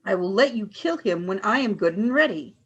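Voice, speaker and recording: A woman's voice: mid-range voice, animated, fairly quick, muffled recording, slight background noise, natural voice. An actress playing a cold, commanding female alien leader who gives stern, clipped orders in a radio play.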